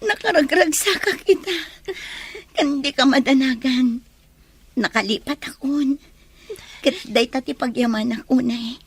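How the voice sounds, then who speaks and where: A woman with an Ilocano accent playing a soft-hearted, forgiving younger sister in an audio drama. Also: sits high, measured pace, pauses often, husky, heavily acted. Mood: tearful relief.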